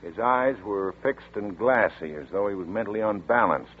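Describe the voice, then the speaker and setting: An actor in a 1940s radio drama playing an efficient young sheriff who briefs in a brisk, matter-of-fact way, with a husky voice. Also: male voice, on the low side, expressive. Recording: tinny old radio broadcast.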